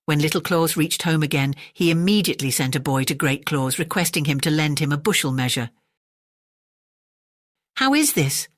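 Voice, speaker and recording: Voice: medium pitch, steady tone, a little fast, few pauses, no room sound, studio quality. A narrator reading a scripted fairy tale as an audiobook storyteller.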